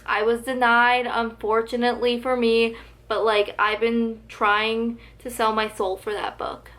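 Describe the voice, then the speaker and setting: A fairly high-voiced young woman gushes to the camera about her favorite books, talking casually and saying "like" a lot. Her voice is a little hoarse, as if it comes and goes. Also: little pitch movement, unhurried, fairly bright tone. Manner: gushing, chatty, heavy use of "like". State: slightly losing her voice. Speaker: book vlogger. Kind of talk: casual book tag vlog.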